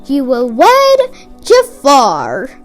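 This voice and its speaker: A woman's voice: high-pitched, wildly swooping pitch, very slow, faint music behind, exaggerated voice. A woman voicing a spellbound older male ruler and father in a storybook, speaking in firm declarations. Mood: decreeing.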